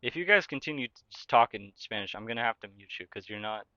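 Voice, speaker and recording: A man's voice: mid-range voice, animated, fast talker, bright, forceful tone, lo-fi sound. A young man livestreaming a game, chatting casually, reading out chat questions and answering them while he talks through his play. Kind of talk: casual live-stream chat while gaming.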